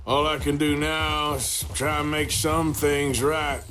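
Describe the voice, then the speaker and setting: Slow-drawling, weary Western outlaw. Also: male voice, a little high, flat delivery, sharp tone, a little hiss or hum. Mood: sorrowful, regretful. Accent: American, rural Western drawl. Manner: slow, plain-spoken, subdued. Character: weary, regretful American outlaw man of the Old West. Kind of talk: naturalistic dramatic video game acting. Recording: dramatic music behind the voice.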